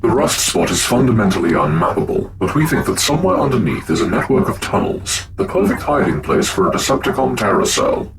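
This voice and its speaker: Guy as a bossy robot leader, deep but swoopy voice, loud noise behind him, audio drama. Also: big pitch swings, a little fast, hardly any pauses. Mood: briefing. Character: imperious, gruff male robot leader of a new government. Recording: loud background ambience noise.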